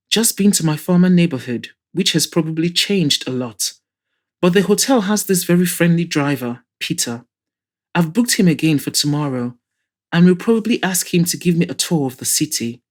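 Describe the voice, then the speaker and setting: A woman's voice: low voice, expressive, normal speed. Female narrator as young woman, anxious, hopeful, chatty, emotional, audio drama dialogue.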